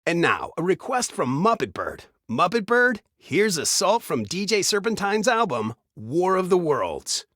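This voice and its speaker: An actor playing an upbeat retro radio DJ in a video game, with a smooth announcer's delivery of scripted patter. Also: male voice, high-pitched, very animated, a little slow, hardly any pauses, a bit bright, no room sound, clean studio sound, full character voice. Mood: upbeat, informative.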